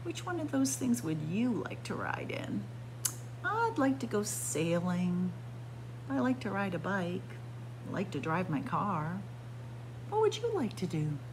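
A woman storyteller reading a picture book aloud to young children in an expressive sing-song way, drawing out and stressing words. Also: mid-range voice, unhurried, noisy background. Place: library room with bookshelves, indoors.

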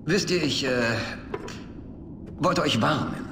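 Slow, theatrical, menacingly polite tyrant. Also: male voice, a little high, echoey room, long reverb tail, noisy background. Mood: silky menace. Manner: theatrical, mock-courteous, drawn-out with sudden pauses. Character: flamboyant, menacingly polite male tyrant king. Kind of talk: video game cutscene acting.

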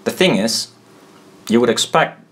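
A man giving an informal tutorial at a desk, thinking aloud in a halting, self-deprecating way. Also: mid-range voice, lively intonation, a bit bright. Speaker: presenter explaining loudspeaker technology. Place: indoors at a desk.

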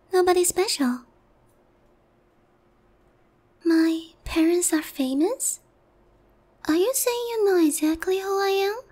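Lady doing a shy airheaded young harpy girl, super high sweet polite voice, asmr roleplay. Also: darkish tone. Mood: innocently puzzled. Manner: timid, hesitant, polite, airheaded. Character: naive, sheltered, polite young female harpy. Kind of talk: ASMR roleplay, addressed to one listener.

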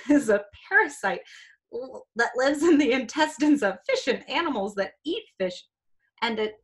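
Middle-aged American woman, teacher reading a children's book aloud, slightly high voice, explanatory, puts questions to young listeners. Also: a bit bright. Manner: explanatory, asking children questions, small exclamations. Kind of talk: children's read-aloud with asides. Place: small room indoors.

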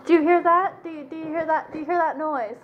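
Young woman goofing around on a home cam, kinda high voice, clicky noisy living room recording. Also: fast talker, muffled recording. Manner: playful, goofy and hyper, teasing. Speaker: vlogger filming family. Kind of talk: casual home video chat. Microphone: camera propped on a counter nearby. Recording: home camera with clicking noise.

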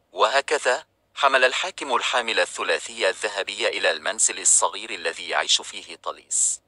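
A man with a lowish, very bright voice reads an old tale aloud in a calm, steady storybook manner. The recording is close and dry, with no room sound behind him. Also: no room sound. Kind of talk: scripted storybook narration.

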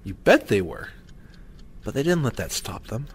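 A man's voice: mid-range voice, big pitch swings, normal speed, slight background noise. A middle-aged man playing an earnest, encouraging father guiding his son in an audio drama.

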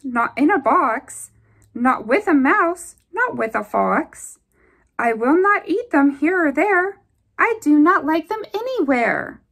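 A woman reads a children's picture book aloud in a high voice that swings widely up and down. She takes it slowly and deliberately, leaning into the rhymes with a singsong storytime rhythm. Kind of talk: expressive read-aloud of a rhyming picture book for young children. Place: indoors at a table.

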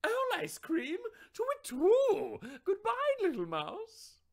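A sly storybook owl speaks very high with wild swoops. The delivery is hooting, fluttery and excitable. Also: male voice, slow, gravelly, exaggerated voice. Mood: alarmed.

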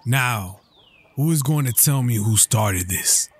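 A man's voice: mid-range voice, expressive, takes it slowly, husky. A man playing a stern, hard-working farmer father in an audio drama.

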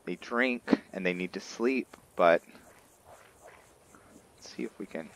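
A man's voice: sits low, takes it slowly, a bit bright. A man giving casual commentary on his roleplay playthrough, explaining things to viewers and often answering his own questions. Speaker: gamer narrating a roleplay playthrough.

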